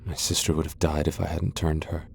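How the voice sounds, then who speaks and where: A man's voice: extremely low pitch, level tone, brisk, gravelly, husky, exaggerated voice. A man playing a proud, guarded tsundere vampire lord in an ASMR roleplay, with a haughty, sardonic and reluctant manner. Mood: sorrowful, guarded. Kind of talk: ASMR boyfriend roleplay audio drama.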